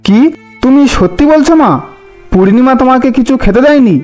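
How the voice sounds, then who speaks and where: A man's voice: extremely high pitch, animated, a little fast, darkish tone, faint music behind. A man voicing a hot-headed, indignant young husband devoted to his mother in a fairy-tale cartoon. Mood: shocked. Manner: indignant, threatening.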